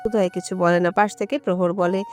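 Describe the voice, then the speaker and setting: A woman's voice: fairly low pitch, steady tone, a little fast, a little mellow, faint music behind. A woman narrating a romantic audio story from a script.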